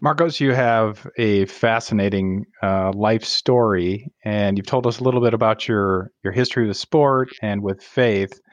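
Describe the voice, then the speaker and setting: A man's voice: fairly low pitch, measured pace, few pauses, no room sound. A man hosting an interview podcast, welcoming listeners and asking a guest long questions. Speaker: podcast host.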